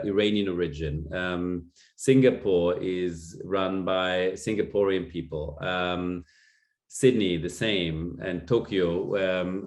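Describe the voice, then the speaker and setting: German-accented man, very deep voice. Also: a little mellow. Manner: hesitant, many ums and restarts. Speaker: lecturer. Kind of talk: masterclass presentation with slides. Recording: echoey room.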